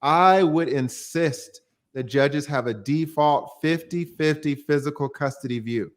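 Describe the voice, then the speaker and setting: A man's voice: on the high side, measured pace. A man, a divorce attorney, explaining the law in a plain-spoken way on a call-in livestream and using everyday examples.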